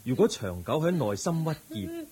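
An actor playing a calm, compassionate Buddha in a Hong Kong Cantonese radio drama, preaching in a solemn, measured way. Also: male voice, a little high, crowd noise. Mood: grave, warning. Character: calm, compassionate male Buddha counselling an aggrieved believer.

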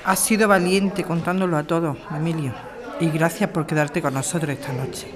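Man playing calm straight-talking activist, spain accent, radio play, highish voice. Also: moderate pace, crowd noise, lightly acted. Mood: frank, appreciative. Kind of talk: amateur radio drama acting.

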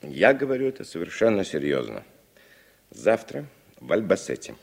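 An actor playing a principled, hot-headed young American writer in an earnest, emphatic Soviet radio drama style. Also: male voice, low voice, very animated, normal speed, gravelly, slightly rough, full character voice. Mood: grave, resolute. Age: young adult. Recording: reverberant old radio recording with noise.

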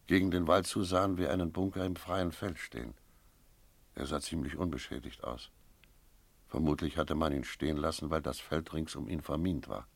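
A sober investigator narrates a radio drama. The voice is extremely deep, with grave, deliberate phrasing and a wary, restrained manner. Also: male voice, gravelly, dry recording, full character voice. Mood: sober, tense. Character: sober, wary male investigator recounting a night search. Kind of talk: first-person radio play narration with dialogue.

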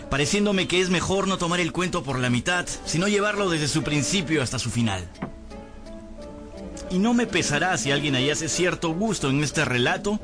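A man's voice: a little high, lightly acted. An actor playing a grown picaresque rogue who tells his life story, theatrical and wry, in a radio drama. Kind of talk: dramatised first-person radio narration.

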